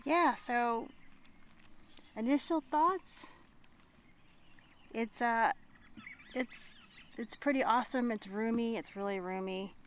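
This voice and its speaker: A woman chatting casually to viewers in an unscripted, musing way with trailing pauses, as a hiking vlogger recording outdoors. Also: a little high. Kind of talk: casual vlog chat to viewers. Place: outdoors, on a walk and at a tent setup. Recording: noisy outdoor camera recording with natural ambience.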